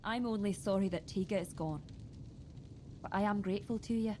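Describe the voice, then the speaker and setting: A woman voicing a grieving widow in a fantasy role-playing game, sharp-tongued yet sorrowful. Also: mid-range voice, brisk, a little hiss or hum, natural voice. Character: grieving, bitter widowed tribeswoman. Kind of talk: video game voice acting.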